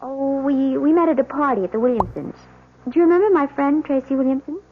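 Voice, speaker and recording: An actress playing a giddy, eager young newlywed wife in an old-time radio drama, with a gushing manner. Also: female voice, high-pitched, a little fast, close to an everyday voice. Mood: anxious, defensive. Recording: tinny, noisy old radio broadcast.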